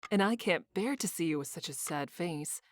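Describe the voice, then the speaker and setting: Woman acting a low-voiced, sly, haughty lady. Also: animated, normal speed, no room sound, studio quality, close to an everyday voice. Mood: sly, confiding. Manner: arch, teasing, formal phrasing. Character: sly teasing haughty woman, formerly violent, now playful. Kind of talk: visual novel character voice reading.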